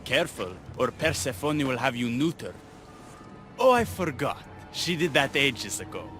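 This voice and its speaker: An actor playing a wry, lovelorn young rebel in a video game, speaking in a flowery, sardonic way. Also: male voice, medium pitch, very animated, moderate pace, over music, natural voice. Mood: taunting. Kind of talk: video game cutscene acting.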